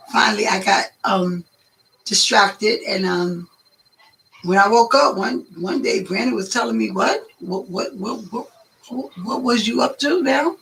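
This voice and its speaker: A hoarse woman chats as a guest, rambling and drawing out her stories. Her voice is gravelly, with a sharp, bright edge on top. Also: mid-range voice, poor audio. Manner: chatty, rambling, drawn-out. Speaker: guest, host's mother. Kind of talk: casual storytelling chat.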